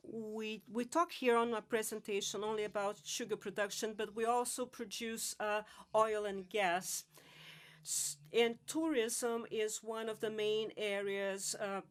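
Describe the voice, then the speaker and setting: Woman interpreter, unhurried delivery, mid-range voice, steady and halting. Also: mid-range voice. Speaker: simultaneous interpreter. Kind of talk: live interpretation of a presentation.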